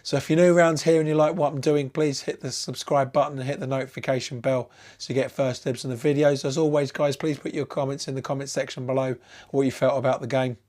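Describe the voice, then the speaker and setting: A man talks through a football match reaction on his fan channel, his voice croaky from a sore throat. His pitch sits in an ordinary middle range. He rambles and restarts his sentences, keen and upbeat. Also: little pitch movement, fast talker, a little mellow. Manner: rambling, many restarts, enthusiastic. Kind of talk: solo match reaction vlog.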